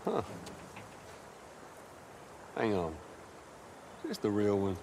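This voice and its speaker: An actor playing a gruff but gentle middle-aged father figure, understated and affectionate, in a video game. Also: fairly low pitch, wildly swooping pitch, slow, exaggerated voice. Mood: teasing, easygoing. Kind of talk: naturalistic video game acting. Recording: faint ambient background noise.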